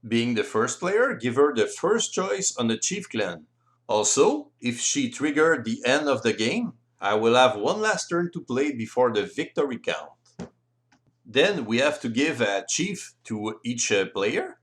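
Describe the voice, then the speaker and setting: A man with a French accent, a native French speaker, explaining board game rules in careful, deliberate non-native English as an instructional voice-over. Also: mid-range voice, big pitch swings, fairly bright tone.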